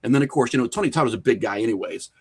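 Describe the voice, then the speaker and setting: A man's voice: mid-range voice, rapid-fire, breathy edge. A man talking informally as an interview guest, explaining his views in loose, slangy speech peppered with filler phrases. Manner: animated explaining, frequent "you know" and "like", informal slang. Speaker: podcast guest, horror filmmaker. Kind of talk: casual interview conversation.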